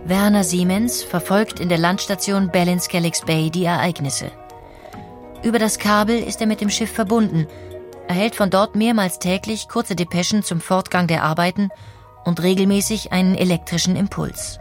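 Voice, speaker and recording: A woman reading scripted documentary narration in an explanatory, measured way. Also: fairly low pitch, steady tone, faint music behind.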